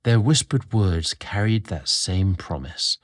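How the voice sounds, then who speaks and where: A man narrating a scripted romantic story in a measured, expressive storybook manner. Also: low voice.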